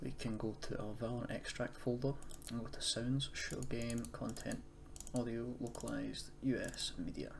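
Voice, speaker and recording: A man's voice: medium pitch, fairly even intonation, normal speed, vocal fry, hardly any pauses. A man presenting a casual screen-recorded tutorial, explaining in an unscripted, hesitant way. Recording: noisy home recording, keyboard typing.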